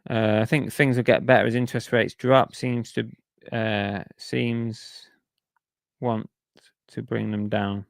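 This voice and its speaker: A man's voice: fairly low pitch, level tone, slow, creaky, no room sound, clean studio sound. A man chatting casually on a live stream, reading out viewer comments and grumbling about sales while losing his voice. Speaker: reseller streamer reading chat.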